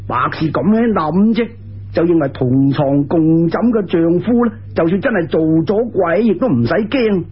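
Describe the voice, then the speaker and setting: A man's voice: high-pitched, slightly rough, lo-fi sound. A man with a Cantonese accent telling a folk tale in a dramatic, emphatic storytelling style.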